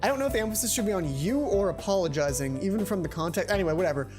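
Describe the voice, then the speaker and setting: Male let's play host, twenties, reading story text casually, game music clearly behind. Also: high-pitched, lively intonation, racing. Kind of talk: reading a visual novel aloud with casual commentary.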